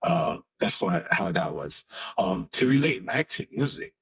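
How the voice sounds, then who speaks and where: A man from Haiti in his twenties, a guest musician speaking haltingly and searching for words in a casual interview. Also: fairly low pitch, very animated, a bit bright, husky. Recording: dull lo-fi sound.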